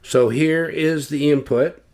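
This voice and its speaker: An older man slowly explaining loudspeaker measurements, talking deliberately with fillers and restarts, recorded at home on a webcam. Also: fairly high pitch, fairly even intonation, a little hiss or hum. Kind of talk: technical explanation with drawings. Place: home living room, indoors.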